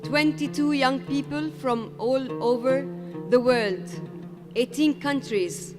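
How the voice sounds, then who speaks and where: Woman narrator, slow and deliberate, reverby hall sound, guitar behind. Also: high-pitched. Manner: measured and deliberate.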